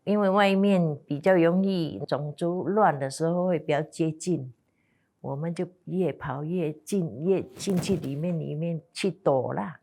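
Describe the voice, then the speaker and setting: A woman's voice: sits low, unhurried, dark tone. An older woman with a Singaporean accent, recalling personal memories as an interviewee. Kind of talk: personal recollection.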